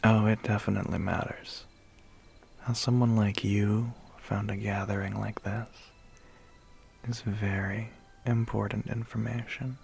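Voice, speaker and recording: A man voicing a suave, menacing vampire biker in an ASMR roleplay, slow and teasing with a breathy, gravelly half-whisper. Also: on the low side, flat delivery, soft background music. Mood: quiet menace. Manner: slow, teasing, quietly threatening.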